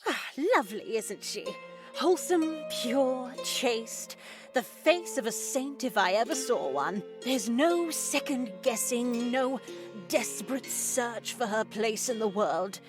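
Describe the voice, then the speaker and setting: Slow-speaking, genteel convent nun. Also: female voice, a little high, lively intonation, music in the background. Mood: measured, pious. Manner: slow, genteel, measured, preachy. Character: serene, pious convent nun mentor, motherly yet unsettling. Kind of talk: scripted visual novel voice acting.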